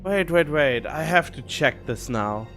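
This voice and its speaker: A woman live-streams a game in a casual, informal way. She talks slowly, thinking out loud and questioning herself, with faint game music behind her. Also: low-pitched, animated.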